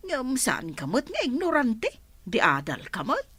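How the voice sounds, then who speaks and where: A woman's voice: fairly high pitch, huge pitch swings, a little slow, bright, forceful tone, husky, no echo, full character voice. An actress playing a caring mother who gives her daughter advice in a radio drama. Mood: motherly.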